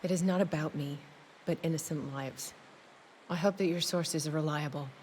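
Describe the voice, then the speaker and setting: An actress playing a determined, earnest occult investigator in a dramatic video game cutscene. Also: female voice, low-pitched, moderate pace, darkish tone, natural voice. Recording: clearly noisy ambient background.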